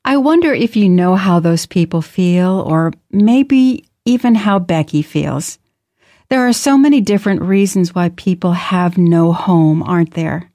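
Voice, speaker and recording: A woman's voice: on the low side, lively intonation, unhurried, dark tone, no echo, studio quality. A woman co-presenting, talking in a friendly way and asking the listener questions.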